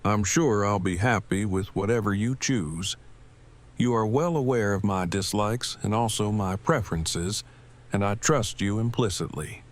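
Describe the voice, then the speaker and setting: Guy doing awkward brainy man, super formal and wordy, deadpan, lowish pitch, audio drama. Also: measured pace, natural voice. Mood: earnest, formal.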